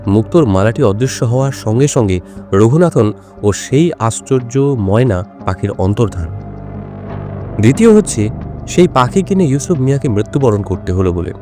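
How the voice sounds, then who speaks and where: A male actor playing a shrewd, self-assured detective in an audio drama, speaking in a measured, explanatory way. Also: medium pitch, sing-song, dark tone, soft background music, natural voice. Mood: measured, deductive. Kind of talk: Bengali audio drama dialogue.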